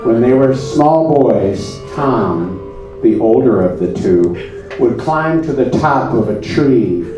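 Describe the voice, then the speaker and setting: A man narrating a comedy puppet show on stage with mock solemnity, deadpan, pompous and halting, in a very echoey, distant recording. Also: mid-range voice. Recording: very echoey, distant mic, music behind.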